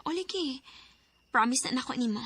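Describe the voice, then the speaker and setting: A woman's voice: high-pitched, expressive, moderate pace. A woman acting a tender but firm young sweetheart in a radio drama. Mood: reassuring, firm.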